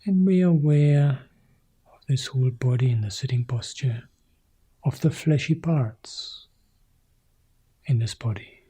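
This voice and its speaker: A man leading a guided meditation as a teacher, speaking calmly in short, sparse phrases with long pauses, in a soft, husky, breathy voice. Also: mid-range voice. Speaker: meditation teacher guiding a practice.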